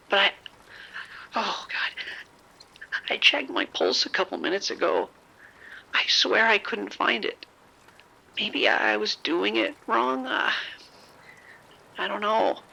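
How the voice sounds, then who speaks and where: Man playing a frightened, sickening man, swinging pitch. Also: huge pitch swings, vocal fry, sharp tone, heavily acted, poor audio. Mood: anxious, sickly. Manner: halting, whispery, repeating himself. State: sick, weak, faltering. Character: frightened, sickening bitten man, unravelling and rambling. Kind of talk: horror audio drama phone call.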